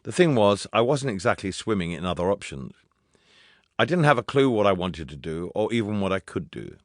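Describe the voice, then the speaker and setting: A man's voice: fairly low pitch, big pitch swings, brisk, dry recording, clean studio sound. A man narrating a memoir audiobook in a scripted read, with wry, dryly humorous storytelling.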